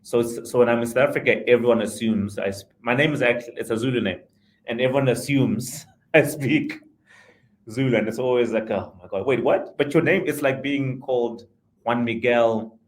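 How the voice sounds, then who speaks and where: A man's voice: mid-range voice, normal speed. A man from Zimbabwe, a podcast guest, talking in a rambling, self-correcting way with frequent chuckles. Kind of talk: casual conversational interview.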